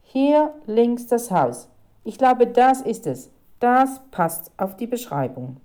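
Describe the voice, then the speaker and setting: An eager boy detective from a children's storybook, voiced by a woman. He is confident and emphatic, calling out in a raised, lively pitch. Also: slow. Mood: urgent.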